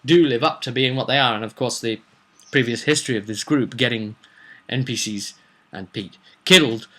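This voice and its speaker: Bright-voiced man rambling about his roleplay game. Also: mid-range voice, normal speed. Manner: chatty, slips into acted quotes of characters. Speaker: game master recounting a tabletop roleplay session. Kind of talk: casual rambling vlog storytelling.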